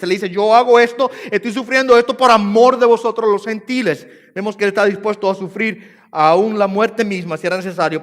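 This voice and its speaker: Puerto Rican male pastor, high-pitched, emphatic repeating delivery, long church reverb. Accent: Puerto Rican Spanish. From Puerto Rico. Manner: repeats phrases for emphasis, rising and falling in force. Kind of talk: sermon. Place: church sanctuary.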